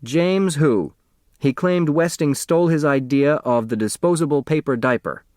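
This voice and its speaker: A man voicing a businesslike newspaper researcher reporting over the telephone in a storybook, brisk and informal. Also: a little high, a little mellow, no echo, studio quality, pitched above the performer's own voice, lightly acted.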